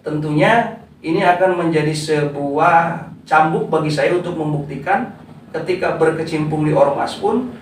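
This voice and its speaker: A man in his thirties gives relaxed interview answers, pausing on small fillers. His voice sits a little high for a man. He talks from some way off the mic in a very echoey room. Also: far from the mic, a little hiss or hum. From West Java, Indonesia. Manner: unhurried, with frequent "eh" fillers, addressing the interviewer as "Pak". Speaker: founder of a community organization.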